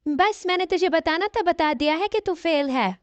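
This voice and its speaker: A woman's voice: very high-pitched, expressive, normal speed, no room sound. A woman voicing a blunt young college girl in a cartoon, nagging her friend. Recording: dull, lo-fi audio.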